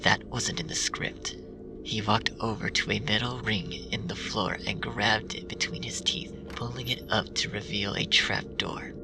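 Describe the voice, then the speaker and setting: Casting: gravelly low male reader murmuring a grim story over a loud music bed. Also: steady tone, unhurried. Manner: hushed, half-whispered reading. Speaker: fanfiction reader. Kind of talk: dark storybook narration. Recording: distant mic with music bed.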